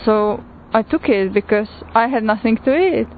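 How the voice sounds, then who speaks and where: A woman's voice: on the high side, expressive. Slovak-accented woman on a tinny line. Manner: unhurried, with frequent pauses and fillers. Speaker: pilgrim sharing testimony. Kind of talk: personal testimony. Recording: tinny phone-like recording with faint noise.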